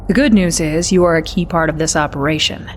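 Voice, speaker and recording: Woman acting a low-voiced, wry intelligence officer. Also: fairly even intonation, fairly quick, slight background noise, natural voice. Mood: brisk, wry. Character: brisk, wry female military intelligence officer. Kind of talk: scripted mission briefing.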